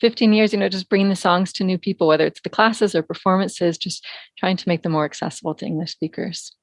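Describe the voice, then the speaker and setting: A woman's voice: on the low side, fast, dry recording. A woman from San Francisco, a folk singing teacher, explaining things thoughtfully as a guest in a podcast interview. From San Francisco, USA. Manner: thoughtful, explanatory, many fillers.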